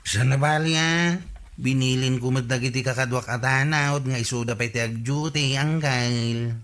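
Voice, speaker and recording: A man's voice: mid-range voice, steady tone, normal speed, fairly bright tone, close to an everyday voice. An actor playing a chatty, scheming village man with an Ilocano accent in a radio comedy drama. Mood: sly, matter-of-fact. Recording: noisy track, birdsong behind.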